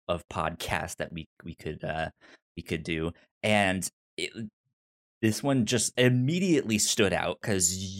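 A man with a low-pitched voice chats casually as a podcast co-host. He stammers often, doubling back on words and syllables as he gives his take. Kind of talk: casual review chat.

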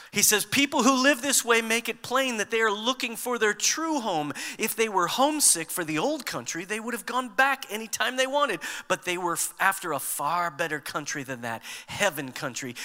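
A man in his fifties preaching a sermon as a pastor, conversational and animated, mixing scripture with humor. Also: high-pitched, big-room sound.

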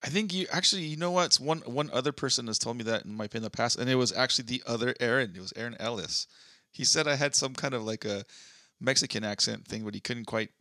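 A man's voice: mid-range voice, quick pace, sharp tone, no echo, clean studio sound. A man from Southern California with a slight East LA accent, co-hosting a casual podcast in a loose, rambling, profane way. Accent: East Los Angeles. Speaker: podcast co-host. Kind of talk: casual chat.

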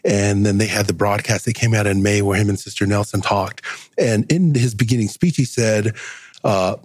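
A man's voice: lowish voice, darkish tone, slightly rough, dry recording. A middle-aged man serving as a church stake counselor, talking in a rambling way with many restarts. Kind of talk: casual discussion.

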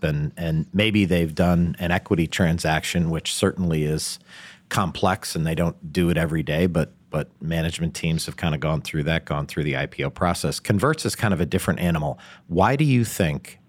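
A man's voice: very deep voice, dry recording. A man hosting a business podcast, who reads a scripted introduction and then asks questions full of fillers and restarts. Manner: halting and filler-heavy when asking questions. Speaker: podcast host. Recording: music bed under the voice.

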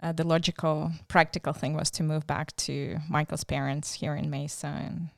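A woman's voice: sits low, level tone, normal speed, vocal fry, dry recording, clean studio sound. A woman in her thirties with a Ukrainian accent, speaking as an interview guest, thoughtful and hesitant with many fillers. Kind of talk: casual interview.

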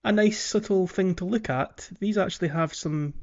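A man's voice: sits high, moderate pace, darkish tone, dull audio. A man chatting casually as a podcast co-host about a video game, often chuckling as he talks. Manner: chuckles often while talking. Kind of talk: casual chat, video game playthrough commentary.

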